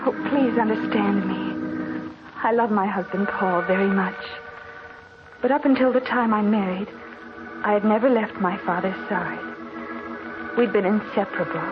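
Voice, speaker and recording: A woman acts a devoted, anxious young wife in a 1940s radio melodrama. Her speech is formal and earnest at a medium pitch. Music plays behind the muffled recording. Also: moderate pace, long pauses, close to an everyday voice. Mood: grave, sorrowful. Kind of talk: 1940s radio drama acting.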